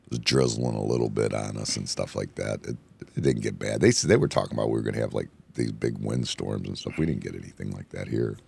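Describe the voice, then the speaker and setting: Gravelly, hoarse low-voiced man rambling. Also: quick pace. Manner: rambling, excitable, repeats himself. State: hoarse from yelling. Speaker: podcast host. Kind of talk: casual bar chat. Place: indoor room, bar setting.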